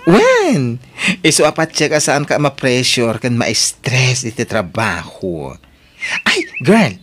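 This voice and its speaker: A man playing a sassy, girlish, chatty friend in a radio drama, with exaggerated, drawn-out exclamations. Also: mid-range voice, huge pitch swings, fairly bright tone, heavily acted. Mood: playful, exclaiming. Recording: noisy radio drama recording.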